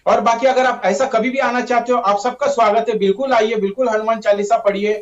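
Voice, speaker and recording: A man's voice: very high voice, steady tone, a little fast. A man arguing as a party spokesman in a political TV debate, insistent and repeating his points. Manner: insistent, repetitive, uses tag phrases. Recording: roomy, dull, distant microphone.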